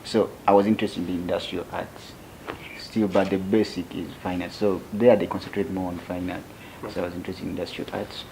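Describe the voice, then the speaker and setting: Ugandan man in his twenties, interview guest; very low, gravelly voice, slow and soft-spoken, faint hiss behind. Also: level tone, rough recording. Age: 20s. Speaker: young tech founder, interview guest. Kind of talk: interview answers.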